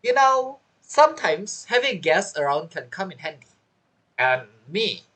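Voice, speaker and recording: Low, husky, expressive woman commentating. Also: unhurried. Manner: expressive, bantering, repeats words. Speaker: game streamer. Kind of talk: casual reaction commentary over gameplay. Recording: game music behind voice. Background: video game music.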